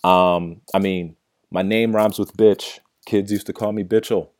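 A man's voice: low voice, fairly even intonation, a little slow, a little mellow, no room sound, clean studio sound. Blunt, profane man with a stutter. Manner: often ends points with "right?". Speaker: podcast host. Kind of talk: casual solo podcast rant.

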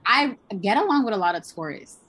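A woman's voice: fairly high pitch, sing-song, fairly quick, a bit bright, no echo. A woman in her twenties, from Pennsylvania, chatting on a podcast as co-host in a bubbly, talkative way. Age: 20s. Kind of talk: casual podcast chat.

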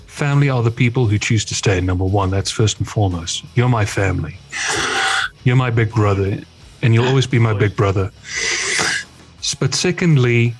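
A man with a booming bass-baritone voice, speaking as a guest in recovery in an earnest, reflective conversation. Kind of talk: candid conversation.

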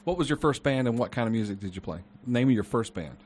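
A man's voice: medium pitch, expressive, fast, a little mellow, a little hiss or hum. A man hosting a casual interview, asking eager questions. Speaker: interviewer host.

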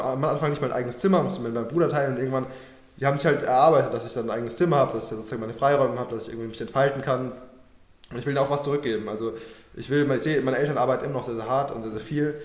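A man's voice: mid-range voice, a little mellow, reverberant. A young man born in Germany, talking casually and earnestly in an interview, thinking aloud with loose slangy phrasing and many fillers. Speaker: guest.

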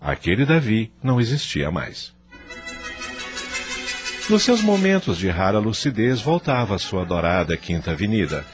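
A man's voice: fairly low pitch, very animated, moderate pace, over music. A man with a Brazilian accent giving dramatic scripted narration for a radio drama.

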